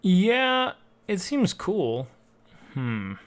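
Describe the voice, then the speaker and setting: A man's voice: sits high, very animated, very slow. A man streaming live, reacting to videos with blunt, profane asides.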